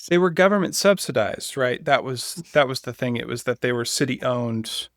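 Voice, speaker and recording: A man's voice: medium pitch, animated, normal speed, fairly bright tone, no echo, studio quality. A man co-hosting a political podcast, talking in a casual, informal and opinionated way.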